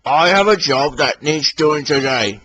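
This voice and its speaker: A man's voice: on the high side, expressive, a little slow, bright, forceful tone, a little hiss or hum. A man voicing a kindly, stout male railway boss in a storybook, speaking in a firm, fatherly way.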